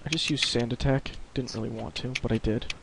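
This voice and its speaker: A man talks through his game in a casual, foul-mouthed way, musing aloud as he plays, his pitch sitting in the middle. Loud keyboard clatter and clicking run under everything he says. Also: fairly even intonation, fairly quick, creaky. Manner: casual, profane, thinking aloud. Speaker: let's play co-host. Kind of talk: casual gaming commentary. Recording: heavy keyboard typing noise. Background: computer keyboard clicks.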